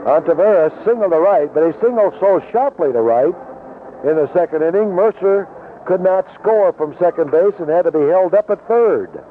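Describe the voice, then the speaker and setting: A man's voice: sits high, lively intonation, normal speed. An American man calling baseball play-by-play in the style of 1970s radio commentary. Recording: tinny noisy vintage AM radio broadcast.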